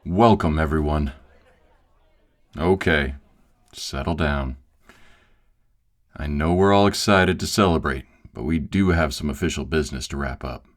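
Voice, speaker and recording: A man's voice: very deep voice, a little slow, long pauses, some chatter behind. A man playing a formal, authoritative shifter clan director who officiates a ceremony in an audio roleplay. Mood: formal, commanding.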